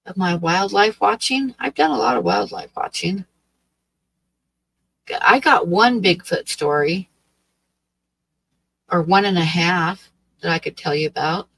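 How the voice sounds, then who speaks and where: A woman's voice: fairly low pitch, expressive, a little slow, vocal fry, long pauses, fairly bright tone, low-quality recording. A woman in her sixties from Oregon, hosting a livestream and chatting casually with her viewers in a rambling, hesitant way full of fillers. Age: 60s. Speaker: livestream host. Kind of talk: casual chat with live chat. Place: small dim room with draped wall.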